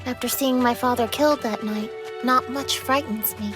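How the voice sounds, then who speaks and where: A woman's voice: a little high, normal speed, over music, close to an everyday voice. A woman voicing a caring but lifeless-sounding young heroine in a subdued, solemn way. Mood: somber, resolute.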